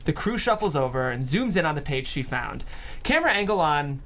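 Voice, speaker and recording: A narrator reading stage directions aloud at a comedy table read in an amused, animated way. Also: medium pitch, a little fast, slight background noise.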